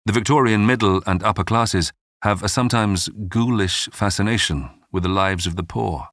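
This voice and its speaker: A man hosting and narrating a scripted history documentary in a measured, dramatic storytelling manner. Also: on the low side, fairly bright tone, dry recording. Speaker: documentary podcast narrator and host. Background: background music.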